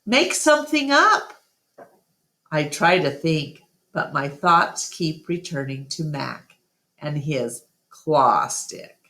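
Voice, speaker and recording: A woman narrating a children's book aloud as an expressive storytime reader. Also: low voice, slow pace.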